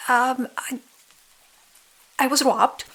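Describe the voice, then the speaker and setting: Woman playing a little wooden boy, high-pitched, naive, hesitant, stammering, husky edge, storybook. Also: steady tone, drawn-out words, a bit bright, full character voice. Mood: flustered, fibbing. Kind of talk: bedtime storybook character voice.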